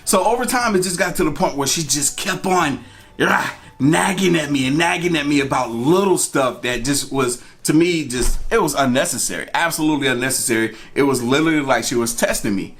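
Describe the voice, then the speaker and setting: A man's voice: a little high, brisk, a bit bright. A man telling a personal story in a casual, conversational way, repeating phrases for emphasis. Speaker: storytime vlogger. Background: music.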